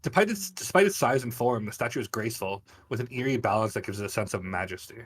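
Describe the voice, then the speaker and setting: A man's voice: fairly low pitch, lively intonation, fast, fairly bright tone, breathy edge. Man narrating as a game master. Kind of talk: narrating a tabletop game, part scripted description.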